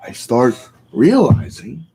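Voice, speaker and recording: A man's voice: medium pitch, big pitch swings, slow, vocal fry, dark tone, a little hiss or hum, rough recording. A man vlogging in a casual, rambling rant, opinionated and often correcting himself mid-sentence.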